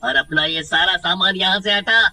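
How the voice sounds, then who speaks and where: A man playing an arrogant rich merchant who bullies and blusters in a cartoon horror story, with a raspy voice. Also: high-pitched, moderate pace, bright, forceful tone. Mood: menacing. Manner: blustering, stammers when frightened. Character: arrogant, bullying rich merchant, easily spooked. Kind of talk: Hindi animated horror story dialogue.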